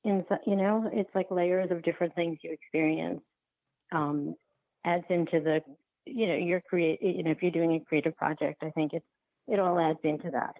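Woman, thin telephone-quality audio, gravelly fry, halting reflective interview answers, thinking aloud. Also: fairly low pitch, fairly even intonation, no echo. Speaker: guest, documentary filmmaker. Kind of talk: reflective podcast interview conversation. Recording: thin, phone-like line.

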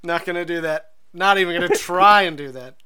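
A man's voice: sits high, fairly quick, sharp tone, clean tone. A man co-hosting a podcast in casual chat, rambling and hesitant, full of fillers and restarts. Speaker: podcast co-host.

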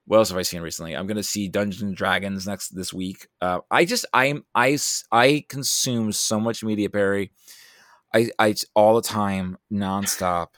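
A man's voice: fairly low pitch, fairly bright tone, dry recording, studio quality. A man chatting casually as a podcast guest, rambling and self-deprecating, sounding a bit tired. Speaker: podcast guest, writer and creative producer. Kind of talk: casual chat.

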